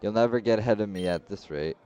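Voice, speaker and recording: A man's voice: lowish voice, fast talker, mellow, clean tone, lightly acted. A man reading the lines of a cocky teenage rival boy, taunting and smug. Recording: slightly dull lo-fi recording.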